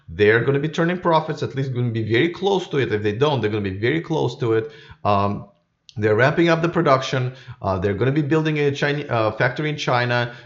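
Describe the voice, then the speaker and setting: Halting man on a dull live stream. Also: mid-range voice. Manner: halting, rambling, repeats words and restarts. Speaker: YouTube live stream host. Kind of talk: casual unscripted live commentary.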